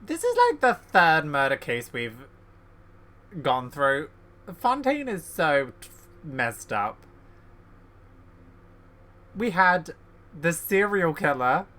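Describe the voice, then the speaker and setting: A man's voice: fairly high pitch, wildly swooping pitch, takes it slowly, pauses often, pushed and bright. A man giving casual gameplay commentary as a streamer, sometimes reading dialogue aloud. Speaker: game streamer.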